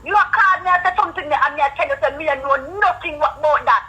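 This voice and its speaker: Very high-pitched indignant Jamaican woman. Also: fast talker, sharp tone, low-quality recording. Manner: indignant, repetitive, emphatic. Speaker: prank call target. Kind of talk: heated phone argument.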